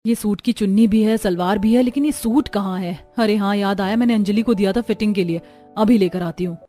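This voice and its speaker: A woman playing a harried, caring young housewife and mother in an animated family story. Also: mid-range voice, a little fast, lightly acted. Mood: puzzled. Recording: background music under the voice.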